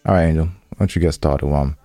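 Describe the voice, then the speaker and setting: A man's voice: a bass voice, very fast, warm tone, no room sound, faint music behind. A man co-hosting a podcast in laid-back, joking, slangy casual chat. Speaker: podcast co-host.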